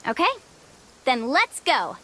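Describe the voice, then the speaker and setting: A woman's voice: high-pitched, very animated, slow, noisy background, exaggerated voice. A woman voicing a spirited young female adventure leader in a video game, encouraging and directive. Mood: confident, earnest.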